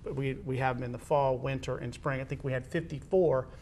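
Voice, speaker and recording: A man's voice: fairly even intonation, fairly quick, a little mellow. A man with an American accent hosting a conversational interview about school athletics as an administrator. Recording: background noise with low rumble.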